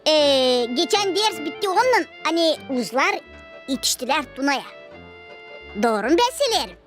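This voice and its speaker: A performer voicing a cheeky, boastful child in a cartoon, in an animated, playful manner. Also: sits high, moderate pace, faint music behind. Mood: eager, questioning. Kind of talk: cartoon dialogue.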